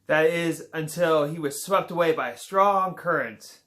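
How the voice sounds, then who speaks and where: A man reading a children's picture book aloud in a relaxed way, with small slips and playful asides. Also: a little high, measured pace. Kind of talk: read-aloud children's story.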